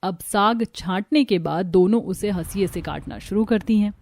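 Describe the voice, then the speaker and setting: A woman's voice: medium pitch, normal speed, darkish tone. A woman reading scripted narration for a cartoon family story.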